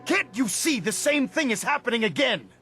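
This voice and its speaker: An actor playing a stern, unforgiving military commander in a video game, oratorical, emphatic and contemptuous. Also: male voice, extremely high pitch, very animated, moderate pace, pushed and bright, heavily acted. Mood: righteous contempt. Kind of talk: dramatic video game acting. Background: cinematic music.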